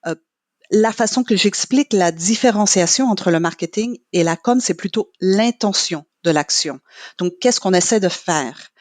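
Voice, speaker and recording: A middle-aged woman speaks as a podcast guest in a voice neither high nor low, recorded dry and close. Her first language is English, and she explains things in a measured way, filling gaps with short hesitations. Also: a little mellow, no echo. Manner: measured, with frequent "euh" pauses. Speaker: guest, training marketing manager. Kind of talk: podcast interview, explaining at length.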